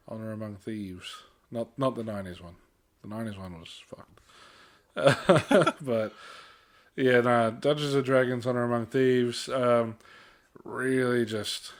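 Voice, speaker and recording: A man co-hosting a podcast in a casual, slangy chat style with some mild swearing. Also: medium pitch. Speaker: podcast co-host.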